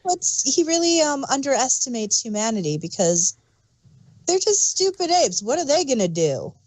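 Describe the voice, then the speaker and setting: A woman's voice: high-pitched, sing-song, moderate pace, a little mellow, dry recording, poor audio. A woman co-hosting a review podcast in a casual, conversational style. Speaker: podcast co-host reviewing audio dramas.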